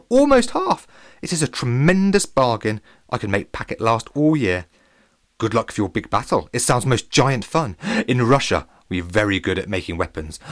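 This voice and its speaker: Man acting Russian schoolboy, earnest, cheerful, quaint broken English, varied pitch, storybook character. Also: dry recording, clean studio sound, lightly acted. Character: sweetly earnest Russian boy penfriend writing in broken English.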